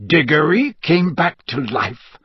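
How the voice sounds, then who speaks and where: A man's voice: on the high side, very animated, slow, husky, no echo, dull audio, voice pushed up, heavily acted. A man voicing an intense, protective adult wizard who speaks sharply and abruptly. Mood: sharp, urgent.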